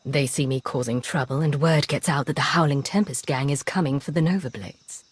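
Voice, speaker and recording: An actress voicing a cool, tough undercover agent in a video game, terse and commanding. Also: female voice, very deep voice, a little fast, slightly rough, no room sound. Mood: businesslike.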